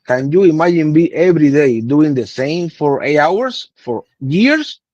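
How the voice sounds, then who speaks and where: A man's voice: fairly high pitch, expressive, measured pace, a little mellow, no room sound, lo-fi sound. A man in his thirties from Cuba, with a Cuban Spanish accent and Spanish as his first language, explaining patiently in broken English in a casual interview. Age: 30s. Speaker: guest cigar blender.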